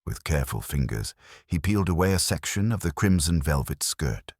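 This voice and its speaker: Very deep-voiced male mystery narrator. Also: very animated, a little mellow, husky, no echo, studio quality. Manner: measured, formal storytelling. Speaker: audiobook narrator. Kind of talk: dramatic scripted mystery narration in first person.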